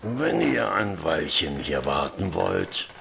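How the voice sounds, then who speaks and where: A man playing a gruff, practical fantasy innkeeper with a gravelly voice in an audio drama. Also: low voice, big pitch swings, takes it slowly, exaggerated voice. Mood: businesslike. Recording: clear background noise.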